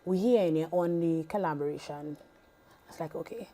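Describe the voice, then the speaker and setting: A Trinidadian woman, a music artist, chatting in a TV interview in a relaxed way, often repeating her phrases. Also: low-pitched, normal speed. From Trinidad and Tobago. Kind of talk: casual interview chat. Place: TV studio.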